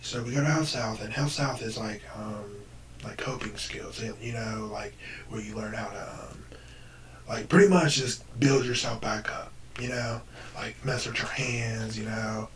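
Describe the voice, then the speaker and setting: Deep, damaged-voiced young American man, noisy distant mic. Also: a little slow. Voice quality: deep, damaged-sounding voice with breathy dropouts. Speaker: vlogger telling personal story. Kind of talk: casual personal storytelling to camera.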